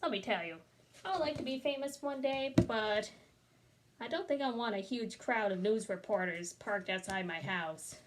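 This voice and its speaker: A woman's voice: medium pitch, moderate pace, noisy background. A woman reading a children's story aloud expressively, with chatty asides to the listener. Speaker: storybook reader.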